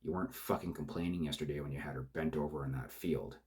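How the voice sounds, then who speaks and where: Rough, smoke-worn killer, very low and husky, slow contempt, read by a male narrator. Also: steady tone, a little mellow, heavily acted. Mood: contemptuous. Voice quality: rough, tobacco-cured.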